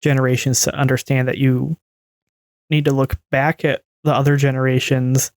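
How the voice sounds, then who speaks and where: A woman in her twenties co-hosts a podcast in a casual, conversational style. Her voice is exceptionally low for a woman. The recording is dry and free of room sound. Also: flat delivery, normal speed, a little mellow, dry recording. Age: 20s. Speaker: podcast co-host. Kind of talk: casual discussion.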